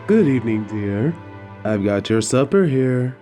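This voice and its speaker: A man playing a gentle, apologetic old-time leather tanner in an audio drama, tender and soothing with old-fashioned phrasing. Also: medium pitch, sing-song, unhurried, faint music behind. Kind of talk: romantic audio drama acting.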